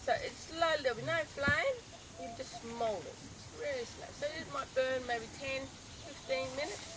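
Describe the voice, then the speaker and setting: An Australian woman park ranger explaining things on a guided walk in the bush, unhurried and a little hoarse, pausing with ums and restarting her sentences. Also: sits high, a loud crowd. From northern Australia. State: slightly hoarse, losing her voice. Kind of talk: outdoor guided demonstration. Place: outdoors in the bush. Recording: outdoor recording with clear natural background noise.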